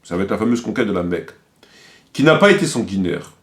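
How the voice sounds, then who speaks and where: A man's voice: deep voice, animated, fast. A man delivering critical commentary, quoting sources didactically and repeating his points for emphasis.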